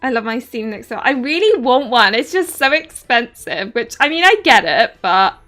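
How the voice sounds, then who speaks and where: A woman's voice: on the high side, big pitch swings, moderate pace, fairly bright tone. A woman with a southern English accent casually commenting on a game stream and reading its text aloud, laughing often and tripping over names. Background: faint game music.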